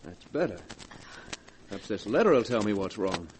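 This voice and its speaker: An actor playing an anxious, insistent husband in a 1940s radio drama, with emphatic theatrical delivery, on a hissy old recording. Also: male voice, on the low side, sing-song, moderate pace, poor audio. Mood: suspicious.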